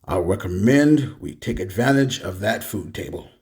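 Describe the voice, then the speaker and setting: An actor playing a cold, dutiful army sergeant in a science fiction radio play, speaking with curt authority. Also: male voice, fairly low pitch, lively intonation, moderate pace, a little mellow, slight background noise. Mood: measured command. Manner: curt, authoritative military delivery.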